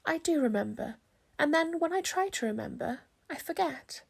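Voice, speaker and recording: A woman's voice: sits high, expressive, moderate pace, vocal fry, pitched above the performer's own voice. A woman voicing a trusting, curious young boy in a children's storybook, with a simple, eager, questioning manner. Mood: curious, wistful.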